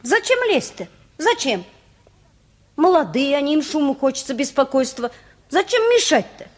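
An actress playing a meek, wistful ageing mother in a Russian radio play, homely and quietly stubborn in her way of talking. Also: high voice, big pitch swings, normal speed. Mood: plaintive.

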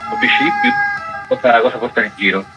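A man's voice: medium pitch, normal speed, loud music behind the voice, rough recording. A man, a festival artistic director, giving explanatory answers in a radio interview.

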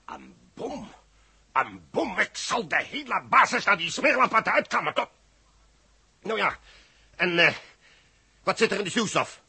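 Forceful, raspy Dutch chief engineer. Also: male voice, sits high, sing-song, measured pace, pauses often, full character voice. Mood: alarmed. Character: commanding male chief space engineer, gruff, impatient, worried. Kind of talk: 1960s Dutch radio drama acting.

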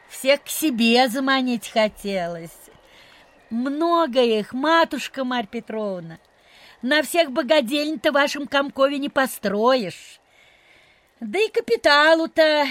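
An actress playing a brisk, energetic country gentlewoman of fifty in a radio play, folksy and teasing. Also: sits high, no room sound, exaggerated voice. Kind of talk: Soviet radio play acting.

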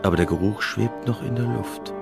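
A man's voice: lowish voice, brisk, gravelly, over music. An actor in a radio play voicing a wistful, reflective man remembering old times. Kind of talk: radio drama monologue.

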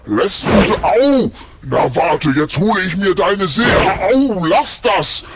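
A man's voice: sits high, animated, slow pace, a little hiss or hum, exaggerated voice. A man playing a pompous demon lord of hell in a parody audio play, with grandiose, menacing villain speech that tips into whining. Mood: pained, indignant.

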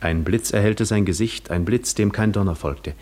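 Weary, lonely Greek wanderer played by a male actor, lowish voice, measured literary storytelling for a 1950s radio play. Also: a little mellow, close to an everyday voice. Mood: quietly astonished. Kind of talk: 1950s German radio drama narration.

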